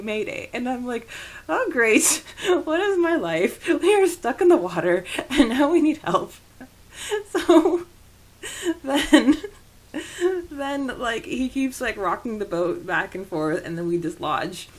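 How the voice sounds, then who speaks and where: A woman's voice: on the high side, expressive, slow pace. A woman co-hosting a podcast, telling personal anecdotes informally and acting out the quoted dialogue. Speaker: podcast host. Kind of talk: casual personal storytelling.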